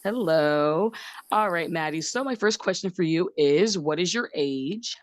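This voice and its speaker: A woman's voice: fairly low pitch, animated, unhurried, no echo. A woman hosting an unscripted dating show, asking contestants questions. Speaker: host.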